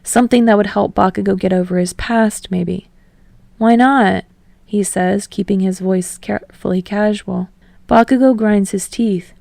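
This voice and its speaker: A woman's voice: medium pitch, steady tone, normal speed, vocal fry, warm tone, no echo. A woman reading scripted fiction aloud as an audiobook narrator.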